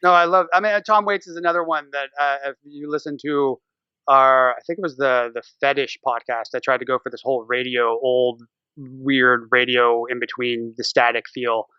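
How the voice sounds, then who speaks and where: An American man chatting casually as a podcast guest, with an animated, irreverent manner, prone to swearing and acting out little quotes. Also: medium pitch, normal speed, clean tone, no room sound, dull audio. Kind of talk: casual interview chat.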